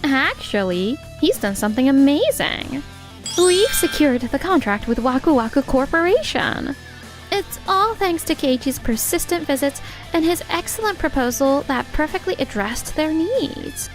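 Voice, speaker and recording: A poised young lady boss played by a woman with a very high voice. She is composed, earnest and supportive, frank but kind, in a manga romance dub. Soft music runs behind her. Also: big pitch swings, unhurried. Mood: admiring. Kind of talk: anime-style dubbed dialogue.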